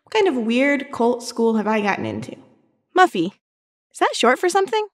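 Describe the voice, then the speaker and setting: A woman's voice: on the high side, very animated, moderate pace. An actress playing a chatty, uneasy teenage girl in an audio drama, talking in a casual, wry, questioning way. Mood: uneasy, curious.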